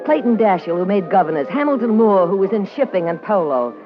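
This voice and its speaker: A woman's voice: medium pitch, lively intonation, fairly quick, natural voice. An actress with an American accent playing a hard-boiled, wisecracking party girl and blackmailer in a vintage radio drama, with a tough, sardonic manner. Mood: wry reminiscing. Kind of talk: 1950s radio noir acting, narration and dialogue. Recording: muffled vintage radio recording with music.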